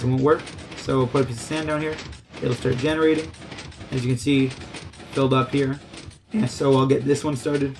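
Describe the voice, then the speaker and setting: A man's voice: mid-range voice, darkish tone, a little hiss or hum. A man giving a casual, unscripted game tutorial, explaining things in a loose, hesitant way. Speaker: gaming tutorial host.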